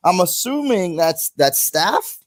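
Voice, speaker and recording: A man's voice: sits high, big pitch swings, takes it slowly. A man from the northern United States co-hosting a beer podcast, joking and rambling loosely. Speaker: podcast co-host. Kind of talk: casual podcast chat.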